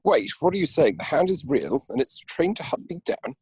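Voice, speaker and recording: A man playing a bewildered, blustering and rather dim gentleman in a comic amateur radio play. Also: a little high, big pitch swings, moderate pace, slight background noise.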